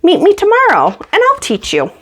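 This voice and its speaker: A woman's voice: very high voice, wildly swooping pitch, moderate pace, noisy background, pitched above the performer's own voice, heavily acted. A friendly schoolboy skater from a children's storybook, voiced by a woman reading aloud. He is helpful and talks like a kid among friends. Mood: encouraging.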